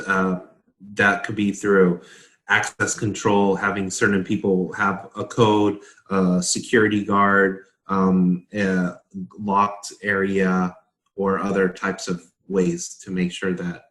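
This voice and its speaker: A man with a deep voice explains things casually in a panel discussion. His delivery is halting and tentative, and the sound is echoey and roomy. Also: fairly bright tone. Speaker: consultant discussing a standard.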